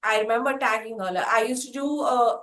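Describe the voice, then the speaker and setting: A woman with an Indian accent hosting an interview, speaking haltingly in fairly formal phrases. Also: fairly high pitch, fairly even intonation, fairly bright tone. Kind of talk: interview questions and chat.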